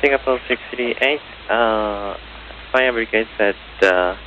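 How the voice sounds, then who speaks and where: A man working as an air traffic controller, giving procedural instructions haltingly over aviation radio. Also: lowish voice, bright, forceful tone. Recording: narrowband radio transmission with hiss.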